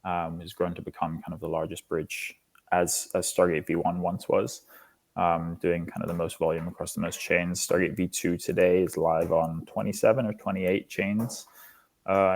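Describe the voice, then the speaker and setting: A man's voice: low voice, level tone, normal speed, gravelly. A man in his twenties from Singapore, explaining his work as an interview guest. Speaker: interview guest, foundation lead. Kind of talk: interview answers, explanatory talk.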